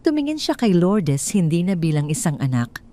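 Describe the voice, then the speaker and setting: A woman with a Filipino accent reads a dramatic story as an audiobook narrator. Her voice sits on the low side and moves widely up and down in pitch. The delivery is measured and expressive, in formal, complete sentences.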